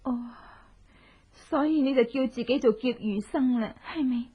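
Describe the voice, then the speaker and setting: An actress playing a grieving, humiliated general's widow in a melodramatic, anguished radio drama style. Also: female voice, sits high, measured pace, husky. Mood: questioning. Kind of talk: Cantonese radio drama acting. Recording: dull, lo-fi old radio drama.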